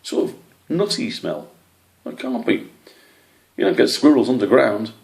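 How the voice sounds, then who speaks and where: A man's voice: mid-range voice, very animated, measured pace, gravelly, darkish tone, voice pushed up. A man voicing a chummy, casual mole in a story, with a matey, offhand way of talking. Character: chummy, casual male mole popping through tunnel walls.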